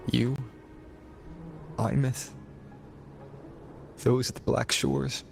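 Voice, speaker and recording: A man's voice: on the low side, creaky. An actor playing a burdened, introspective male hero in a video game, speaking in a subdued, brooding manner. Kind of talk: video game cutscene voice acting. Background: background music.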